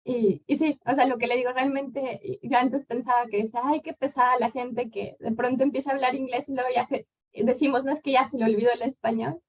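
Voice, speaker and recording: A woman in her thirties from Mexico with a Mexican accent, answering questions as a guest lecturer in a relaxed, conversational way. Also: high voice, a little fast, husky, low-quality recording. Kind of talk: conference Q&A answer.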